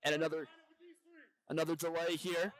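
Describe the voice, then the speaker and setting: High-voiced man calling basketball play-by-play. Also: flat delivery, normal speed, a bit bright. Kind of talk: live TV sports commentary.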